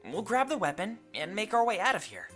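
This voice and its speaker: A woman voicing an earnest, protective and level-headed companion in a fantasy visual novel, speaking with sincere, measured reasoning. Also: mid-range voice, big pitch swings, fairly bright tone, soft background music. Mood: level-headed, firm.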